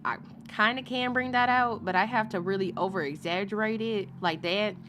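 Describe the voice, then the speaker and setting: A woman's voice: medium pitch, normal speed, a bit bright, a little hiss or hum. Chatty woman rambling to her chat. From Alaska. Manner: chatty, rambling, laughs often, asides to chat. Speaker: commentary streamer. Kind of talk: casual commentary chat.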